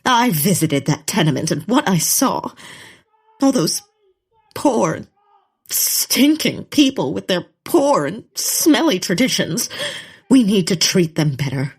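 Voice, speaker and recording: A woman's voice: mid-range voice, big pitch swings, takes it slowly, breathy edge, full character voice. A woman playing a righteous, melodramatic lady naturalist poet in a comedic period audio drama. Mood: righteous passion. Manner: solemn, impassioned, dramatic.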